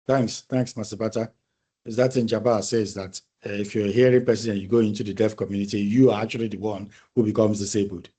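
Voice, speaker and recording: Male lecturer, mellow tone, relaxed discussion style, restarts phrases while he thinks aloud. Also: on the low side, fast talker, slightly rough. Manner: thoughtful, repeats and restarts phrases while explaining. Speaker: university lecturer. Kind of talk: conversational online class discussion.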